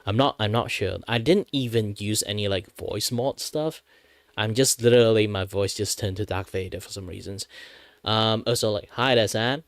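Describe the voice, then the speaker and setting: A man's voice: on the low side, normal speed, dry recording. A man from Malaysia with a sore throat, streaming from a home setup into a close desk mic, chatting casually with many fillers and likes. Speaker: VTuber streamer.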